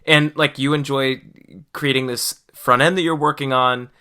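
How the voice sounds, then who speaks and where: Bright-voiced male podcast host. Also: mid-range voice, steady tone, moderate pace, clean tone. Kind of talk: casual podcast conversation.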